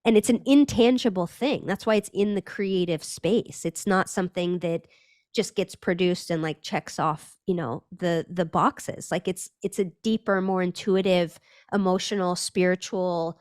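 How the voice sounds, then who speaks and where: A woman's voice: on the low side, no room sound, clean studio sound. A woman from Northern California, a brand strategist talking as a podcast guest, thoughtful and searching, with frequent restarts. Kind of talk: reflective conversational interview.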